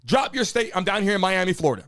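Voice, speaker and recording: Brief: high-pitched guy hosting a live stream, brash hype-man who keeps calling out to his chat. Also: sing-song, quick pace, a bit bright. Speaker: live-stream show host. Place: home studio in Miami.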